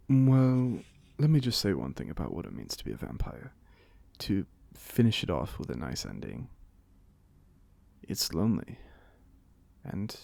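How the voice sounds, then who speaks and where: A man's voice: on the low side, gravelly, darkish tone, dry recording, exaggerated voice. A man playing a genial old vampire in an audio roleplay, speaking with a British accent in polite, formal, wryly chatty phrases. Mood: gentle, sincere. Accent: British, put on by an American. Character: genial, chatty, courteous centuries-old male vampire being interviewed. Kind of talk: ASMR audio roleplay monologue.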